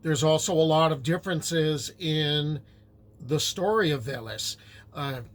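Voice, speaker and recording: Slow, rambling older man. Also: fairly high pitch. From United States. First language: Ukrainian. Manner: rambling and conversational, with asides. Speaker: history storyteller. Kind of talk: casual spoken history talk.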